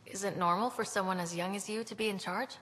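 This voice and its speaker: A woman's voice: medium pitch, steady tone, fast talker, a bit bright, lightly acted. A woman playing a frank, eager young space explorer in a video game, with a casual, direct delivery. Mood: curious.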